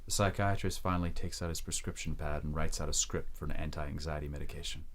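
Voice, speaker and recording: A man's voice: extremely low pitch, steady tone, fast. A man reading scripted narration as the narrator of an audio drama.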